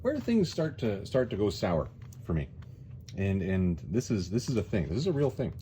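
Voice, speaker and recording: A man's voice: on the low side, big pitch swings, moderate pace, dark tone, slight background noise. A man giving a casual, rambling comic book review in a wry, hesitant way, often repeating his words.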